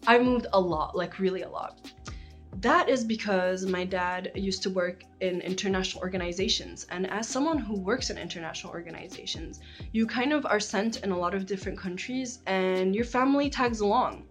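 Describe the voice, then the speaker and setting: Egyptian woman, 20s, vlog host, mid-pitched, chatty, faint music under her voice. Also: normal speed. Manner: chatty, many likes and ums. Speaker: channel host. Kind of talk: casual talk to camera.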